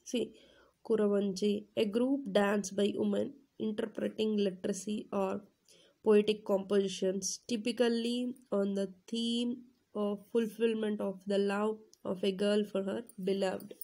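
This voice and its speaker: A woman's voice: medium pitch, level tone, measured pace, warm tone, rough recording. A woman teacher with a cold, explaining a lesson to her class with many fillers and checking questions. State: has a cold. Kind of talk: classroom lecture, reading then explaining.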